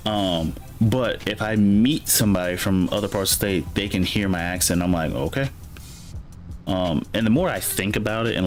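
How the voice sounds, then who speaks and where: A man with a light Alabama accent, chatting casually and rambling to his chat while playing a game. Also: sits low. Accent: light Alabama Southern. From Alabama, USA. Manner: rambling, chatty, self-correcting, reads chat aloud. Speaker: gaming streamer.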